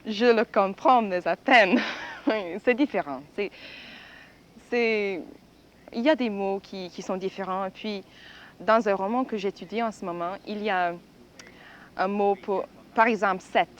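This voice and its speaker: A woman from France answers thoughtfully in a mid-pitched voice, often restarting her phrases. A faint hiss sits under the voice in an old radio recording. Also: measured pace, muffled recording. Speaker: student. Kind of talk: archival radio interview answers.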